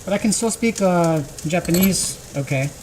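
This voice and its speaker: Low voiced woman just chatting while she cooks, pan sizzling loud behind her. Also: moderate pace. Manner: relaxed, thinking aloud while cooking. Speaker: streamer. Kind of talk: casual cooking livestream talk. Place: home kitchen. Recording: kitchen noise and sizzling pan. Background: frying and sizzling.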